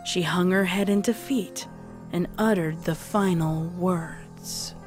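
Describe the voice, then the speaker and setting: Low-pitched woman narrating a dramatic story. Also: slow pace, a little mellow, soft background music.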